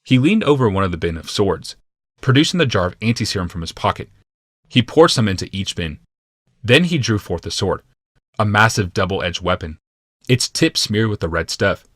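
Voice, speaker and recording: A man reading scripted fantasy narration as an audiobook narrator, in a deliberate, dramatic way. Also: lowish voice, big pitch swings, fairly bright tone, dry recording, studio quality.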